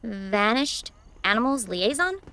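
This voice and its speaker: Incredulous girl, highish voice, slow halting delivery, bewildered, horror audio drama. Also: big pitch swings, sharp tone, exaggerated voice. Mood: baffled. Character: bewildered, foul-mouthed girl encountering a monster.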